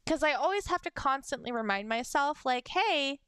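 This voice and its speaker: Giggly young woman streamer, really high bright voice, bouncy, dry close mic. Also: animated, moderate pace, no room sound. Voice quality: high-pitched voice. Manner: bubbly, giggly, many "like"s. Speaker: VTuber streamer. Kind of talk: casual livestream chat, reading fan messages.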